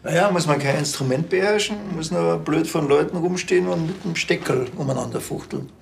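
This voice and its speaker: Dry, gravelly Bavarian police detective. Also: male voice, on the high side, brisk, slight background noise. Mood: sardonic. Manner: dry, deadpan. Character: laconic, sardonic male Bavarian police detective. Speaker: actor in a police series.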